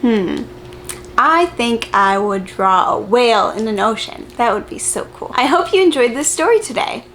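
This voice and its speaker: A woman with a fairly high voice reads a children's story aloud. Her delivery is very animated and expressive, with simple, encouraging phrasing aimed at preschoolers. There is faint noise behind her voice. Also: normal speed. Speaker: preschool teacher reading picture books aloud. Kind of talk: children's story read-aloud with questions to young viewers.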